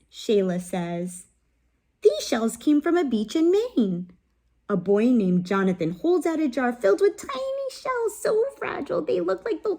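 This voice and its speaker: A woman reads a picture book aloud to young listeners in a slow, unhurried way. Her voice sits a little on the high side, and she stops often to ask gentle questions, sometimes dropping to a soft whisper close to the mic. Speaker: children's read-aloud host. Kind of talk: expressive picture-book read-aloud with teaching pauses.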